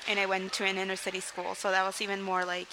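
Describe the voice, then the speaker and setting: Young woman from Mexico, interview guest, open and rambling, steady mid-range pitch, noisy recording. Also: fast talker. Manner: candid, rambling, frequent "like" and "um", laughs between sentences. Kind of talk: casual interview storytelling.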